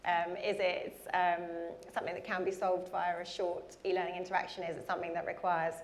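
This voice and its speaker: A woman's voice: fairly low pitch, a little hiss or hum. A woman answering questions on a conference panel, chatty with frequent ums. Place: conference hall.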